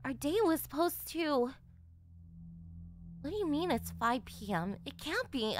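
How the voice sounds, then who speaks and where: A woman's voice: sits high, big pitch swings, measured pace, slight background noise. A woman voicing a shy, flustered tsundere young girlfriend in an anime-style ASMR roleplay, defensive and stammering, with a whiny edge.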